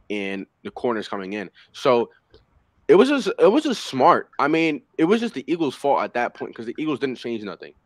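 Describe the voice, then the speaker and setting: A man's voice: medium pitch, dry recording. A young man on a headset in a video call, talking football in a loose, rambling way with many fillers. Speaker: panelist. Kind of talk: casual sports chat.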